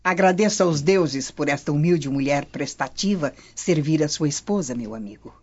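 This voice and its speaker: Woman acting a haughty, low-voiced Roman noblewoman. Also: normal speed, a little mellow, husky. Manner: imperious, formal. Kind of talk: radio drama acting. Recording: dull lo-fi audio.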